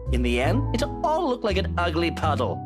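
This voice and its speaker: A woman's voice: fairly low pitch, wildly swooping pitch, moderate pace, over music. A woman voicing an irritated posh man who talks with sarcastic sweetness while minding a child in a visual novel. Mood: impatient, condescending. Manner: exasperated, sarcastically sweet endearments.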